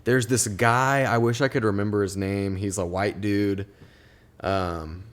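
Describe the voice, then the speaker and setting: A man's voice: fairly low pitch, fairly even intonation, measured pace. A young man co-hosting a podcast, chatting casually in a rambling, laughing way. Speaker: podcast co-host. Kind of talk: casual chat. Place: podcast studio.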